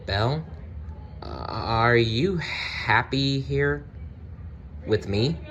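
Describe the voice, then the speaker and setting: A man's voice: mid-range voice, lively intonation, a bit bright, muffled recording, a little hiss or hum, voice pushed up, heavily acted. Man as a shy, sorrowful storybook beast. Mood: nervous. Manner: halting, subdued. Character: gruff but tender male beast, shy and sorrowful.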